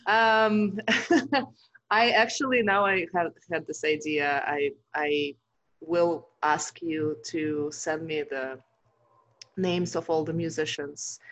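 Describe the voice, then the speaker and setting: A woman host leads an interview over a video call, backing her guest with steady mm-hmms and easy laughs. Her voice sits a little low. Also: takes it slowly, low-quality recording. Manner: warm affirming, frequent mm-hmms and laughs. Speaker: podcast host. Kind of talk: interview hosting.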